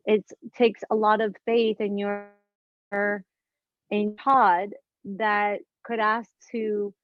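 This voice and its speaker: An earnest woman of fifty talks through spiritual ideas in a relaxed conversation, searching for words and circling back as she goes. Her voice sits at an ordinary pitch for a woman. The recording is dull and lo-fi, with a dry, close sound. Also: takes it slowly, no echo. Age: 50s. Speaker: guest.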